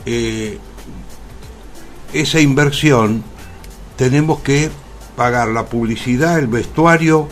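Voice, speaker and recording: An older man with a Rioplatense Argentine accent speaks as a radio interview guest. His pitch is middling and he explains his points deliberately. Faint music plays behind his voice. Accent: Rioplatense Argentine Spanish. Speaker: interview guest, theatre actor.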